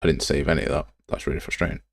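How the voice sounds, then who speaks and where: A man's voice: extremely low pitch, fairly even intonation, rapid-fire, no room sound. A man giving a casual live tutorial, talking to himself as he works and sounding self-deprecating. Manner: muttering to himself while working. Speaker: game development livestreamer.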